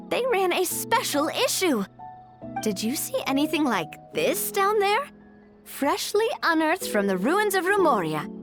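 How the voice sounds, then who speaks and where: A woman playing a lively, eager young woman in a video game. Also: very high voice, exaggerated voice. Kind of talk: video game character dialogue.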